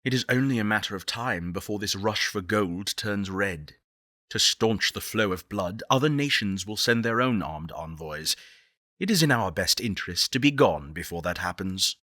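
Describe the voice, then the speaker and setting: A man playing an earnest, eloquent space-program director in a video game, speaking in measured, deliberate oratory. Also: fairly low pitch, expressive, bright, forceful tone, no room sound, clean studio sound. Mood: grave warning. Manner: articulate, deliberate, solemn.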